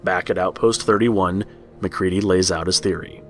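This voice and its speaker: A man with a low voice reads a scripted horror read-along story as its narrator. Music plays lightly behind him. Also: fairly even intonation, moderate pace, a bit bright.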